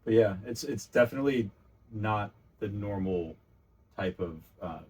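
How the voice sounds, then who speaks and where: A man in a casual podcast chat about music, relaxed and thoughtful, explaining his points plainly. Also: on the low side, a little slow, slightly rough.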